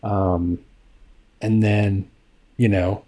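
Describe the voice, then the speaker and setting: A man with a fairly low voice co-hosts a casual podcast. He tells his stories slowly and haltingly, leaning on fillers like um and you know. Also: fairly even intonation, warm tone. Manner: slow, halting storytelling with many fillers. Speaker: podcast co-host. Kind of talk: casual chat.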